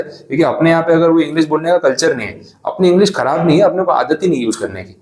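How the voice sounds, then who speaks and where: A man lecturing a class in an Indian accent, mixing in English, explaining with everyday asides and reading legal text slowly. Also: a little high, a little mellow. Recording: echoey classroom.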